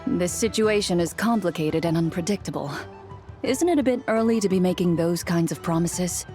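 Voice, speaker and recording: A woman's voice: lowish voice, normal speed, mellow, faint music behind, lightly acted. A woman playing a bold, self-assured female crew leader in a video game. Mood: confident.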